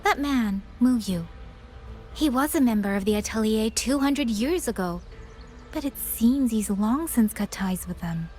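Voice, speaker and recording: A woman playing a gentle, dutiful younger sister in a video game, with an earnest and polite way of talking. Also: on the high side, expressive, a little slow. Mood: serious. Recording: music behind the voice.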